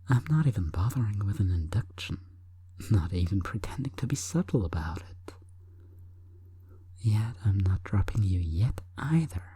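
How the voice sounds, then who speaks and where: A woman hypnotist delivering an intimate sleep hypnosis monologue in a breathy, coaxing, deliberate whisper. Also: a bass voice, dark tone, no room sound.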